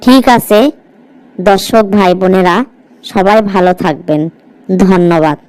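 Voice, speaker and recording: Woman acting a bossy village stepmom in a cartoon, northern bangladeshi accent, really clear smooth voice. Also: fairly low pitch, measured pace, a little mellow, no echo, lightly acted, poor audio. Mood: earnest advice. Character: harsh, scolding village stepmother who favours her own daughter. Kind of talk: amateur cartoon story voice acting.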